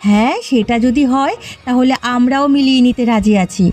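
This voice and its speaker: Female actor as schemer's wife, high-pitched, spiteful, gloating, mocking, cartoon dialogue, music behind. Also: normal speed, a little mellow, lightly acted. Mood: gloating, amused. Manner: gleeful, mocking. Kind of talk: exaggerated cartoon villain dialogue.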